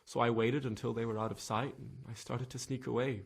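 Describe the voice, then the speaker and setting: A frightened young stable lad from a video game drama. His voice is husky and mid-pitched. He stammers and halts nervously, sounding guilt-ridden as he gives his account. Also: level tone, a little fast. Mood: shaken, haunted. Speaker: video game character, witness being questioned. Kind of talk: dramatic game cutscene acting.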